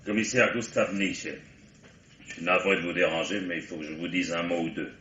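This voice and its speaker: Deep-voiced police commissioner: courteous, hesitant, insinuating, old French radio-mystery style. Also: male voice, fairly even intonation, a little fast, sharp tone, lo-fi sound, slight background noise. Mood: politely probing. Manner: polite, hesitant, insinuating questioning. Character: male police commissioner, politely probing, wily and dogged.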